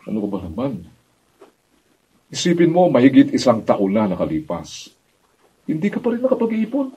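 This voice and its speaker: A man's voice: medium pitch, huge pitch swings, normal speed, mellow, exaggerated voice. An older man with a Filipino accent playing an aggrieved, stubborn father in a radio drama. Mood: reproachful, indignant. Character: aggrieved, stubborn, vengeful middle-aged father and neighbor. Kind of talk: Tagalog radio drama acting. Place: radio drama recording studio, distant camera. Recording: dull audio with clear background noise.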